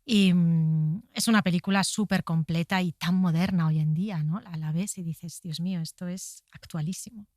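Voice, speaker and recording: Lady from spain on a podcast, lowish voice, animated explaining, dry sound no room. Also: normal speed, hardly any pauses, no room sound. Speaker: interview guest, author of a bookcast. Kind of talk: conversational interview, enthusiastic storytelling about film history.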